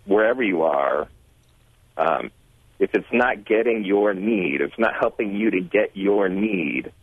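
A low-voiced man calls in to a radio talk show. He talks hesitantly, restarting and filling pauses. The sound is thin and phone-like. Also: animated, dry recording. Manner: hesitant, searching for words. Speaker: caller. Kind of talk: call-in comment. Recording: phone line.

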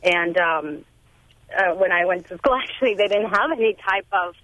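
A woman whose first language is Spanish, calling in to a radio show and speaking hesitantly with many pauses. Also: on the low side, a bit bright. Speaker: caller. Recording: phone line.

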